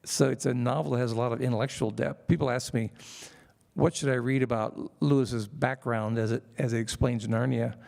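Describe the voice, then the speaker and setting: A man interviewing an author onstage, speaking in a measured, admiring way. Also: medium pitch, fairly even intonation, creaky, darkish tone. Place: live event hall with audience.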